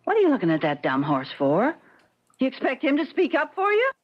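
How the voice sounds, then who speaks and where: A nagging wife with wildly swooping voice. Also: female voice, sits high, wildly swooping pitch, brisk, full character voice. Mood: exasperated, bossy. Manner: sharp, sarcastic, bossy. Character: domineering, scornful, nagging wife holding the purse strings. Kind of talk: old-time radio comedy drama acting. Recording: dull lo-fi old radio recording.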